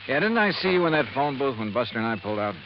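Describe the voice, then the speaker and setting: An actor playing a sharp, steady male investigator and narrator in a 1950s radio detective drama, with clipped, probing speech. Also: medium pitch, racing, background noise, natural voice. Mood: probing, measured. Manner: clipped, hard-boiled, probing. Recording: muffled vintage radio recording, music underneath.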